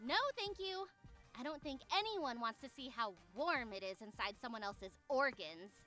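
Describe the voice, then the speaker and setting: A woman's voice: fairly high pitch, animated, normal speed, over music, close to an everyday voice. A woman voicing indignant, argumentative magical girl characters in a theatrical visual novel style. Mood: exasperated. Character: feisty magical girl bickering with a prim, preachy pixie. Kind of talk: animated visual novel voice acting.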